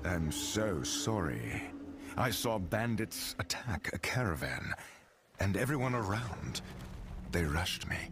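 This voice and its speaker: A man's voice: low voice, big pitch swings, slow, background noise, exaggerated voice. A man playing an earnest, compassionate adventurer hero in a video game, speaking soberly. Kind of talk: video game character acting. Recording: music behind the voice.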